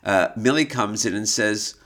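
A man's voice: fairly low pitch, moderate pace, fairly bright tone. A man co-hosting a podcast, telling anecdotes in a casual conversational style and acting out the quoted dialogue. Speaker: podcast co-host. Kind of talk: casual conversational storytelling about art history.

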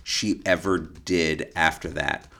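A man's voice: a bass voice, fairly even intonation, takes it slowly, bright, forceful tone. A man hosting an informal podcast, explaining history in detail with an enthusiastic, knowledgeable manner. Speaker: podcast host and expert guide.

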